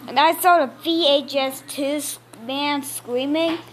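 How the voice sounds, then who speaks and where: A woman's voice: sits high, unhurried, fairly bright tone. A woman giving a casual, exclamatory reaction commentary, repeating phrases and muttering asides. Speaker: reaction video creator. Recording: very noisy home recording, clicks.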